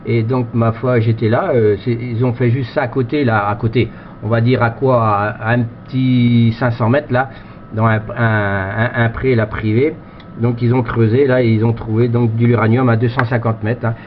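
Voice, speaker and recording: A man's voice: medium pitch, little pitch movement, moderate pace. A man chatting casually as an amateur radio operator, with a rambling, repetitive way of talking. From France. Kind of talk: casual radio contact chat. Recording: muffled, noisy two-way radio sound.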